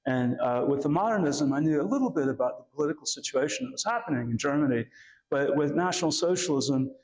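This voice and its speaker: A man with a raspy voice from a cough, giving an academic lecture in a halting way with many pauses and fillers. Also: a little high, lively intonation, darkish tone, low-quality recording. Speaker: guest lecturer.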